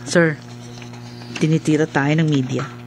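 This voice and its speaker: A man's voice: a little high, steady tone, a little slow, a little mellow, soft background music. A man playing an earnest, deferential junior police sergeant reporting in a radio drama. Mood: grave, urgent. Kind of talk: Filipino radio drama acting.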